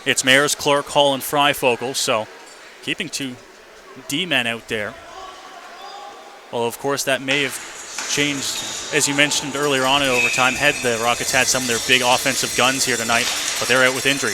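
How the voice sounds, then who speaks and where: A male radio announcer with a fairly high voice calls a hockey game live. He describes play in short running phrases that can rise into excited shouts. The noise of the rink is clearly heard behind him. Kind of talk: live radio sports commentary. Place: indoor hockey arena. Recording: noisy live arena broadcast. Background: loud rink ambience.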